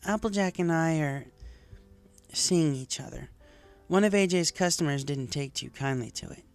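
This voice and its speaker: Woman putting on a brash young storybook pony, raised pitch, forceful, defensive, music underneath. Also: normal speed. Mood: hesitant, sincere. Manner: assertive, argumentative, forceful. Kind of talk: fan fiction character dialogue. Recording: music behind the voice.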